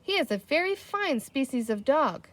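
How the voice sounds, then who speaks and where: A woman plays a little green man from a storybook. He explains things seriously and earnestly in a high voice. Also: sing-song, moderate pace.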